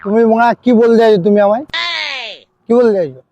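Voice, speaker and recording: A man acts out a brash village drunkard in a comedy skit, pitched high for a man. He swears, boasts and challenges whoever talks to him, with music behind his voice. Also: fairly even intonation, quick pace, highs cut off. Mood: angry, boastful. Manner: belligerent, swearing, challenging with repeated questions.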